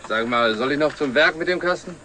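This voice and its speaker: A man's voice: fairly high pitch, a little fast, sharp tone, a little hiss or hum. A man playing a plain-spoken, teasing workmate in a scripted television drama. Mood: matter-of-fact. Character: plain-spoken male workmate, familiar and teasing with his boss.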